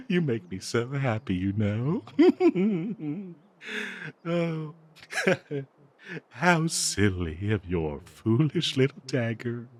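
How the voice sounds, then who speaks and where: A possessive cursed blade croons to its owner at a very slow, savoring pace. The male voice swings widely in pitch, melodramatic and lovestruck with a bloodthirsty streak, in the style of an intimate audio roleplay. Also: wildly swooping pitch, a little mellow, exaggerated voice. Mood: giddy, adoring. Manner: melodramatic, cooing, slow drawn-out delivery with manic giggles. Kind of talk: theatrical audio roleplay monologue addressed to the listener.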